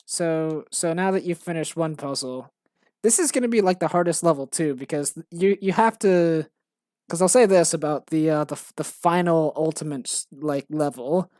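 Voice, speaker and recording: A woman's voice: low voice, normal speed, darkish tone, dry recording, clean studio sound. A woman chatting casually on a stream about the game she is making, rambling and self-mocking. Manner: rambling, lots of fillers and restarts, self-mocking. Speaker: game developer streaming her work.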